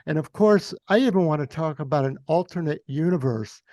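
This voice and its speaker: A man's voice: on the high side, lively intonation, brisk, warm tone, no echo. A man hosting an interview podcast in a chatty, conversational way. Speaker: podcast host.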